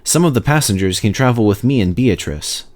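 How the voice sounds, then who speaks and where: Railway guard, male, voiced by a man: practical, exasperated storybook type, matter-of-fact, animated pitch. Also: brisk, natural voice.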